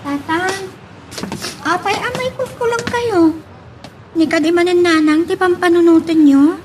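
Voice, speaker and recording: A woman acting as a caring young daughter in an audio drama. Her voice is high, with an anxious concern, over faint noise. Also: unhurried, darkish tone.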